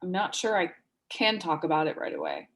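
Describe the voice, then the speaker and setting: A woman playing a seriously ill woman under forty in a dramatic stage reading, evasive and halting in speech. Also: fairly low pitch, wildly swooping pitch, fairly bright tone, heavily acted. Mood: evasive, shaken.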